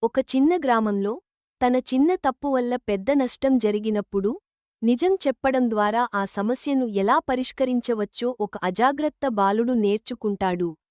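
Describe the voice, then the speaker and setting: A woman's voice: on the high side, moderate pace, darkish tone, dry recording, studio quality. A woman reading a scripted children's moral story as the narrator.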